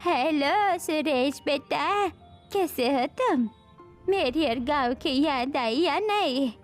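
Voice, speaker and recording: A woman playing an affectionate, insistent elderly village grandmother in an animated family story, coaxing and bossy. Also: high voice, takes it slowly, soft background music. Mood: fond.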